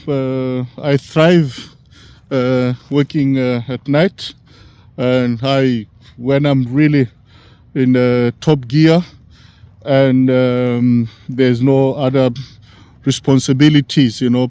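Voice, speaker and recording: A man in his sixties from Africa, talking in a halting, rambling way, full of "you know" fillers. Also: mid-range voice, dark tone, clean tone, dry recording. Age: 60s. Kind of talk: casual rambling monologue.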